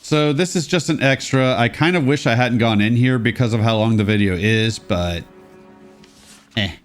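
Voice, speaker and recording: A man's voice: mid-range voice, moderate pace, soft background music. A congested young man giving casual, sarcastic gameplay commentary as a let's play host.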